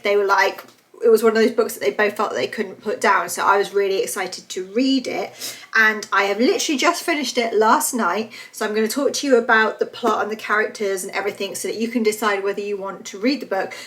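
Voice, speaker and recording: A woman's voice: mid-range voice, fairly bright tone. A young woman talks casually into her camera at home, reviewing a book. Her voice is slightly stuffy and nasal from hay fever. She chats eagerly and fills her sentences with fillers. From United Kingdom. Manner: chatty, enthusiastic, lots of fillers. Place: bright room at home.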